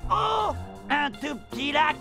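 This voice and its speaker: An actor voicing a big, greedy, boisterous bear cub in a cartoon, gravelly and childishly demanding. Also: sits high, expressive, slow pace, loud music behind the voice, heavily acted. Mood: blithe. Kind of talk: animated cartoon acting.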